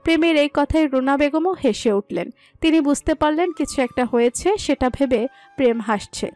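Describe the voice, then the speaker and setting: High-pitched woman narrating a romantic story. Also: lively intonation, moderate pace, a little mellow. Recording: music under the voice.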